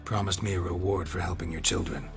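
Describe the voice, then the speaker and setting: Casting: a laconic fantasy game mercenary, low-pitched, stoic and deadpan. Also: male voice, quick pace, gravelly. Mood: calm. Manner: terse, deadpan. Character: stoic, laconic monster-hunting mercenary man. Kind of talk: dramatic video game acting. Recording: loud music behind the voice.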